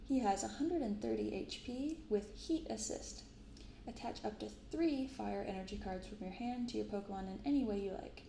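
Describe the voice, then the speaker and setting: A soft-spoken woman hosting an ASMR video, reading aloud and commenting casually in a gentle, quiet delivery. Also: mid-range voice, normal speed, vocal fry. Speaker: host of a card-opening channel. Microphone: binaural microphone. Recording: roomy sound with some background noise.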